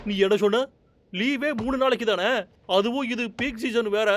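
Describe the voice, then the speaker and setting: A man playing a scheming, excitable park guide in a children's cartoon dub, wheedling, flustered and full of exclamations. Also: very high-pitched, normal speed. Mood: exasperated. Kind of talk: dubbed children's cartoon acting.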